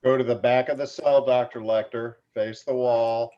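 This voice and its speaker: A man's voice: mid-range voice, steady tone, moderate pace, natural voice. A man playing a stern prison guard in a table read, curtly barking orders. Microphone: video call. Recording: muffled video-call audio.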